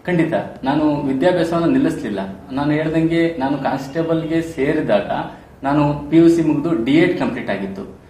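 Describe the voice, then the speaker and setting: A man's voice: on the high side, a little mellow. A man in his thirties with a Karnataka Kannada accent, telling his own story as an interview guest in earnest, formal phrasing mixed with English terms. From Karnataka, India. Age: 30s. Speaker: interview guest, police sub-inspector probationer. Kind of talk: podcast interview, personal storytelling. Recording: roomy echo, faint background noise.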